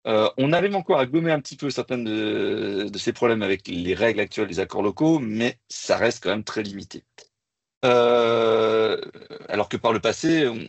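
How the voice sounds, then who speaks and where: A man giving an expert's explanation in a panel discussion, with halting, hesitant phrasing. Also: mid-range voice, no echo. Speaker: expert guest.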